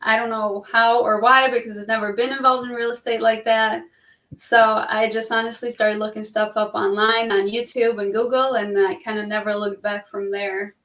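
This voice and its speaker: A woman's voice: fairly high pitch, level tone, normal speed, fairly bright tone. A young woman from Albania, a guest on a talk show, chatting in a friendly, easygoing way. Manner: friendly, chatty, laughs easily. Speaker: guest, real estate investor. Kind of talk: casual interview chat. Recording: distant, roomy remote call audio.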